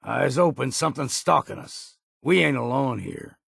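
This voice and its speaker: An actor playing a gruff, blunt, hard-drinking older island hunter in a video game, with a gravelly, raspy voice and a folksy rural drawl. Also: male voice, mid-range voice, expressive, normal speed, a bit bright, dry recording, clean studio sound, exaggerated voice. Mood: wary. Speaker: companion character in a video game.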